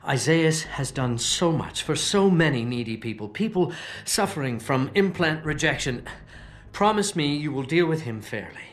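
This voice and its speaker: An actor playing a grieving, self-righteous leader of a political movement in a video game, with measured, formal, oratorical speech. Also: male voice, fairly high pitch, animated, natural voice. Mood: pleading. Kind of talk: dramatic video game acting.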